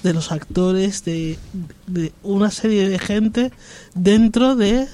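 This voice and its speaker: A man's voice: sits high, mellow. A man speaking reflectively in a group discussion, often restarting his sentences. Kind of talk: discussion about psychiatry.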